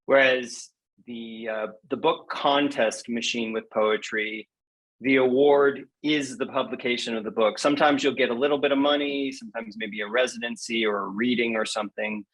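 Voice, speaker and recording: A man chats as an interview guest in a medium-pitched voice with a husky, slightly rough grain. His talk is casual and playful, feeling its way through answers. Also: steady tone, normal speed, fairly bright tone, low-quality recording.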